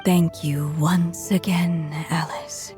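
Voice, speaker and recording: A woman playing a gentle, motherly storyteller near the end of her life in a video game, solemn, breathy and measured. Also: fairly low pitch, steady tone, faint music behind. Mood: weary, tender. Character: dying gentle motherly storyteller, wistful and tender.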